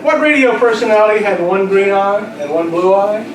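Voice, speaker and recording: High-voiced man hosting a quiz, crowded room. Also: a little fast. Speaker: quiz master. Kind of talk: live quiz hosting at a club luncheon. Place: indoor luncheon room with an audience. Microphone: distant microphone in the room. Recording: echoey room, distant mic, loud crowd. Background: loud crowd chatter.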